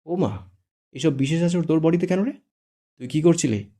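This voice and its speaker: Man doing a cheeky young guy, mocking and theatrical, gravelly, dark tone. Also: medium pitch, flat delivery, fairly quick, natural voice. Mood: teasing.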